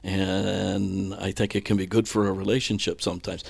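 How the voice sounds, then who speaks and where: A man telling stories in a casual, wry, conversational way as a podcast guest and radio broadcaster, with a gravelly voice. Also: low voice, little pitch movement, normal speed, dry recording, studio quality. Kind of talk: casual storytelling banter.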